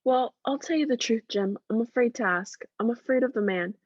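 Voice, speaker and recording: A woman playing a fearful, complaining widowed mother in a radio drama, husky and confiding. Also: on the high side, brisk, no room sound, highs cut off, close to an everyday voice. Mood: fearful, plaintive.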